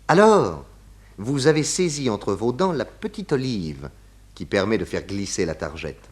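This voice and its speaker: A man playing a shrewd, assured detective in a 1960s French radio play, speaking formally with deliberate enunciation. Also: on the low side, sing-song. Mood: assured, explanatory. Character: shrewd, assured male detective laying out his case.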